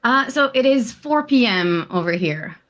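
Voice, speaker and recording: A woman's voice: on the high side, lively intonation, a bit bright. Hesitant woman on a video call. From French Canada. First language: French. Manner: hesitant, many "uh" and "um" pauses. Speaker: guest. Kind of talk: casual interview answers.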